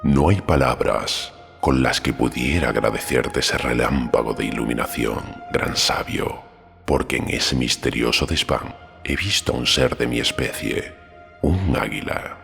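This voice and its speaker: A man performs an eagle-headed storybook sage, extremely deep and gravelly. He speaks with grave ceremony, and his words ring out in long reverb. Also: unhurried, fairly bright tone, heavily acted. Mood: reverent. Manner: solemn, ceremonious. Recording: long cavernous reverb, music underneath.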